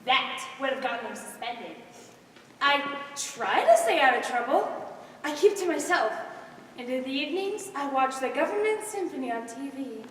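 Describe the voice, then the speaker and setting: A teenage girl acts a timid, naive young woman in a school stage comedy. Her high voice swings plaintively, coming from far off in a noisy hall. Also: sing-song, normal speed, fairly bright tone. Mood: nervous, earnest. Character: timid young woman who laughs at everything. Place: stage in a large hall, recorded from afar. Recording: echoey hall, distant microphone, background noise.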